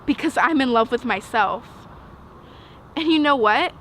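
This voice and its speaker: High-voiced teenage girl reciting slam poetry. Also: animated, fairly bright tone. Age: teens. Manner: earnest, emphatic, dramatic pauses. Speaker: slam poet. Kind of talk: rhythmic spoken word poetry recital. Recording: outdoor urban noise, passing vehicles.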